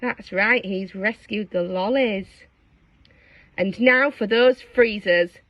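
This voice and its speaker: A woman reading a storybook aloud to young children, dramatic and expressive, engaging her listeners with questions. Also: medium pitch, slow pace, no echo, lo-fi sound. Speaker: storybook reader.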